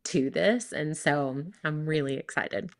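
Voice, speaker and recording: A woman's voice: low-pitched, unhurried. A woman in her thirties, a worship leader and recording artist, talking earnestly in a casual interview, rambling with fillers and restarts.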